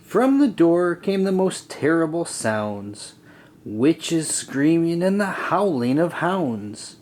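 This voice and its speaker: A man reading a rhyming children's story aloud in an expressive, deliberate, sing-song way. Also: on the high side. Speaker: storybook reader. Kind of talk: children's read-aloud narration.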